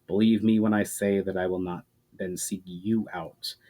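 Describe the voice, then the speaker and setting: A man voicing a powerful, coolly patient adversary with measured, condescending menace in a fantasy story reading. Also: sits low, little pitch movement, mellow, voice pushed down. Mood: threatening. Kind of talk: dramatic fantasy audiobook reading.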